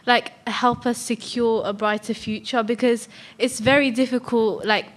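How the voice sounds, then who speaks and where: High-voiced schoolgirl. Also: fairly even intonation, moderate pace. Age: teens, about 15. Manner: polite but direct, colloquial. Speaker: student audience member. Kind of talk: spontaneous question in a public debate.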